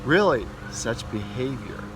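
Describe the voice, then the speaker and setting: A man voicing a proper, brisk magical nanny from a children's storybook, in a prim, expressive way. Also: medium pitch, over music, lightly acted. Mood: prim disapproval. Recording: noisy outdoor backyard recording.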